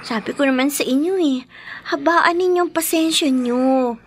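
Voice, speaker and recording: An actress playing a patient, responsible fifteen-year-old daughter in a Filipino radio drama, respectful but gently scolding. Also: female voice, high voice, moderate pace, a little hiss or hum, close to an everyday voice. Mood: patient, admonishing.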